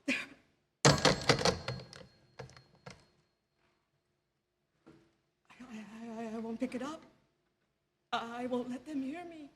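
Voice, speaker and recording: A woman's voice: on the high side, a little slow, close to an everyday voice. An actress playing a terrified, desperate woman in a suspense radio drama, breathless and stammering as she pleads for help. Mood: hushed dread. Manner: breathless, stammering, repeating words in panic. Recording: noisy live theatre stage recording.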